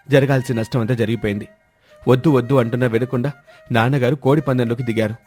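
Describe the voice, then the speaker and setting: A man's voice: mid-range voice, a little mellow, lightly acted. A man playing a dutiful grown son in a family story, earnest and respectful. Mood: dismayed. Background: background music.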